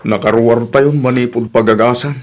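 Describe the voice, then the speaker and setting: A man's voice: mid-range voice, fairly even intonation, a little fast, close to an everyday voice. A man with an Ilocano accent acting in an audio drama as a hurt, weary but resolute husband and father. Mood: serious, weary. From Philippines.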